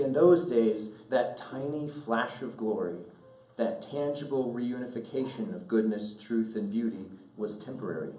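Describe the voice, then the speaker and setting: A man reads a literary letter aloud in a measured, earnest, oratorical way, pausing deliberately, recorded at a distance in an echoing room. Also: mid-range voice, mellow. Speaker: speaker reading a letter aloud at an event. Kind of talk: solemn literary letter read aloud to an audience. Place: indoor event room.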